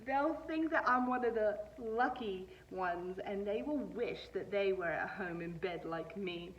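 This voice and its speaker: A woman's voice: medium pitch, expressive, a little slow, reverberant, distant mic, lo-fi sound, natural voice. A woman voicing a nervous, reluctant female storybook character who whines and protests about going to a new place. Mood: sulky.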